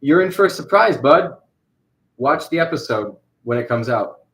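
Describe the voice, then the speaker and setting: A man's voice: mid-range voice, lively intonation, moderate pace, a bit bright, rough recording. A young man chatting casually on a livestream while he animates. He rambles, with lots of fillers and restarts.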